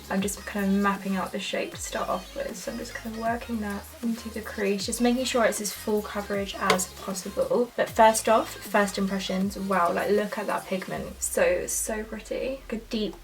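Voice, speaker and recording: A woman's voice: medium pitch, steady tone, normal speed, hardly any pauses, recorded from a distance, over music, poor audio. Chatty woman, slightly off-mic. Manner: chatty and enthusiastic, lots of "like" and "so". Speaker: beauty vlogger. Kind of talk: casual makeup tutorial narration.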